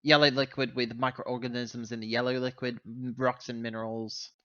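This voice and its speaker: A man's voice: mid-range voice, little pitch movement, moderate pace, sharp tone, no room sound, lo-fi sound. A man chatting casually as a player in an online tabletop game. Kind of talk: casual chat. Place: online voice call.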